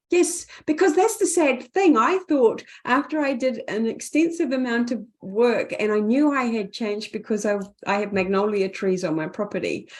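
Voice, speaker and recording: A woman from New Zealand with a clear Kiwi accent talks as a guest on a podcast. She tells her story in an earnest, reflective way, like a coach explaining what she has learned. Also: on the high side. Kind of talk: casual interview chat.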